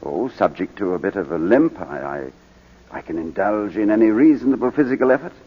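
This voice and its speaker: An actor playing a middle-aged British baronet and war veteran on the witness stand, hesitant and clipped, in 1940s radio drama style. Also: male voice, lowish voice, expressive, a little fast, breathy edge. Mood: hesitant, reserved. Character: proud English baronet, war-wounded veteran testifying in court. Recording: muffled vintage 1940s radio broadcast.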